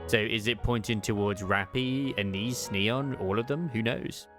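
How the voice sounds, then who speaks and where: A man commentating live on a game and speculating about its lore, haltingly thinking aloud. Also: on the low side, over music. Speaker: game streamer.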